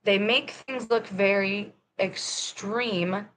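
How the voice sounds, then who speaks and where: A woman's voice: mid-range voice, steady tone, slow, fairly bright tone, low-quality recording. A woman talking straight to her viewers in a casual, rambling monologue, firm and preachy in tone. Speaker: vlogger.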